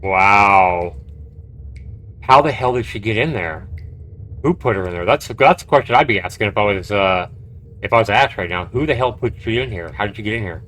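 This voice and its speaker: A man's voice: on the low side, animated, moderate pace, a bit bright, slight background noise. A man live-streaming a game, reading its text aloud and adding casual, reactive commentary. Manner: reactive, conversational.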